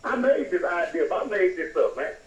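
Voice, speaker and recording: A man's voice: sits high, sing-song, a little fast. A man arguing heatedly on the phone in an indignant, combative, emphatic way. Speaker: prank call recipient. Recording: muffled, distant, echoey noisy phone line.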